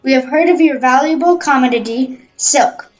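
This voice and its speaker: A woman's voice: sits high, moderate pace, lightly acted. A woman playing a stately, formal imperial figure in an audio play, speaking in a declamatory way. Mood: formal, firm. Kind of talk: amateur audio-play acting. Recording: roomy, slightly dull home recording.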